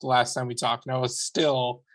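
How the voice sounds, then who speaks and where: A man's voice: medium pitch, flat delivery, moderate pace, fairly bright tone, no room sound. A man in his twenties, a podcast guest, chatting casually with dry, self-mocking humor. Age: 20s. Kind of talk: casual chat.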